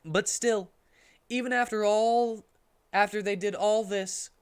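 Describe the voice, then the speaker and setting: A man's voice: sits high, steady tone, unhurried, smooth. A man reading a silly story aloud in a theatrical, mock-serious comic style.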